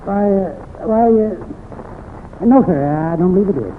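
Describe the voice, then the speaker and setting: Shiftless kindly country cousin, hesitant rustic drawl, higher-pitched, radio western, tinny old recording. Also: male voice, expressive, takes it slowly, slight background noise.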